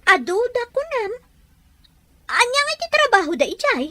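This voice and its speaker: Woman playing nosy relative, high sharp voice, exclamatory, Ilocano accent, audio drama. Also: very animated, normal speed, no echo, heavily acted. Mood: curious. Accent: Filipino Ilocano. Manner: exclamatory, inquisitive.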